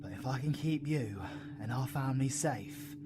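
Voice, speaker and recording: A man with a British accent playing a brooding, foul-mouthed dark-magic supervillain in an audio roleplay, intense and full of slang. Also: mid-range voice, moderate pace, very noisy, natural voice. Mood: brooding, resolute. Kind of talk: dramatic audio roleplay acting.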